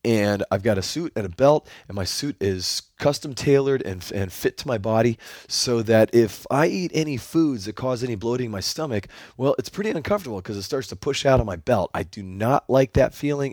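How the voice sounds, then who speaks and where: A man hosting his own podcast, talking solo in an upbeat, enthusiastic coaching tone, his voice hoarse. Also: mid-range voice, darkish tone, no room sound, clean studio sound. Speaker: podcast host.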